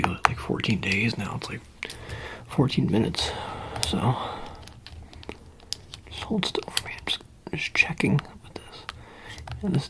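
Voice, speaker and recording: A man speaking softly in an ASMR roleplay, halting and casual, often slipping into a whisper. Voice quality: soft, breathy, often dropping into whisper. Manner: halting, casual, low-key official patter. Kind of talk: ASMR roleplay, soft-spoken, improvised.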